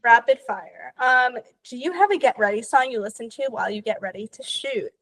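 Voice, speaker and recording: A young woman hosting a podcast, with a voice pitched a little high for a woman. She fires off quick questions in an upbeat, chatty way and chimes in with short replies. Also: animated, fairly bright tone, low-quality recording. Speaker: podcast host. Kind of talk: casual interview.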